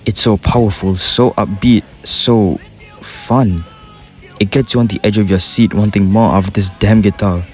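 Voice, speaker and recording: A man's voice: on the low side, steady tone, unhurried, a little mellow. A young man with a Singaporean accent, giving an enthusiastic, casual commentary voice-over with slang and swearing. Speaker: YouTuber ranking game music. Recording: faint music behind the voice.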